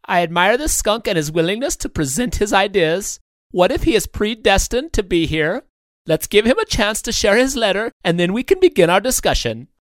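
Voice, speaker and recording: A man voicing a warm, humble turkey scholar in a children's story, gentle and courteous in manner. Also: sits high, sing-song, moderate pace, dry recording, pitched above the performer's own voice. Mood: warm, conciliatory.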